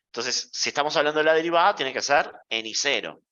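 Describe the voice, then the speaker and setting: A man giving a university math lecture in a playful, deliberate way, checking in with the class often. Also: a little high, sharp tone, highs cut off.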